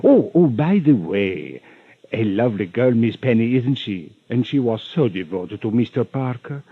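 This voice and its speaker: An actor playing a rich, unctuous villain in an old radio drama, florid and over-polite with hesitant stammers and veiled menace. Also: male voice, medium pitch, sing-song, moderate pace, husky, no echo. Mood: smug, insinuating. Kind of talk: 1940s-50s radio drama acting. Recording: muffled old radio recording.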